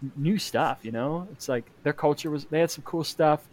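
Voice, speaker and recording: A young man telling stories in casual podcast chat, animated and jumping into put-on voices. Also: moderate pace. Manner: animated storyteller, jumps high into put-on voices. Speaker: podcast co-host.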